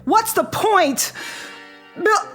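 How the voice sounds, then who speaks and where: An actress playing a weary, despairing middle-aged American wife in a vintage radio drama, fatalistic and questioning. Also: female voice, very high-pitched, expressive, very slow, fairly bright tone, soft background music, exaggerated voice.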